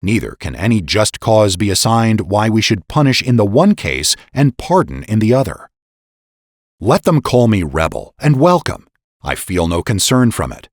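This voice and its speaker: A man's voice: on the low side, no echo, clean studio sound. A man narrating a dramatic reading of a historical text in a solemn, emphatic, declamatory manner. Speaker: podcast host and narrator.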